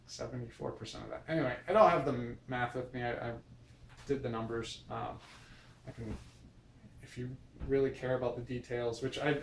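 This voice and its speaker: A man's voice: medium pitch, vocal fry. An American man talking in a casual, rambling vlog style, hesitant and often correcting himself as he goes. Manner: hesitant, meandering, self-correcting. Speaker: video blogger. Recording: roomy, distant mic with noise.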